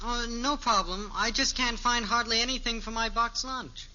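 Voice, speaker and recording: A man's voice: very high voice, steady tone, moderate pace, pushed and bright, full character voice. An actor playing a nonchalant, casual teenage boy in a 1950s radio sitcom. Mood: offhand. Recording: noisy, dull old radio recording.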